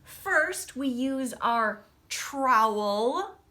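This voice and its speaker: Woman, teacher reading a storybook, higher-pitched voice, slow careful pace, expressive delivery, quiet explanatory asides. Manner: animated storybook delivery, dropping to hushed asides. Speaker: teacher reading a picture book to children. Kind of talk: expressive read-aloud with casual explanatory asides.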